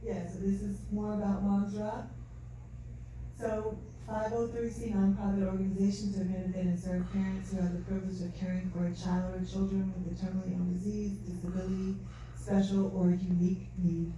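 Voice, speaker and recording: A soft-spoken woman giving an earnest, faith-filled talk at an event, recorded from a distance in a large hall. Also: medium pitch, flat delivery, brisk. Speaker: event speaker. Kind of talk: testimony talk. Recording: distant mic, echoey hall, faint noise.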